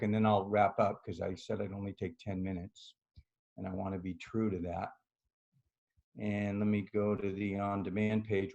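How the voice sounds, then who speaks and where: A middle-aged man, a yoga studio owner, giving a loud, upbeat, chatty screen-share talk over a video call, with lots of "right?" tag questions. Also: mid-range voice. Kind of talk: casual screen-share presentation.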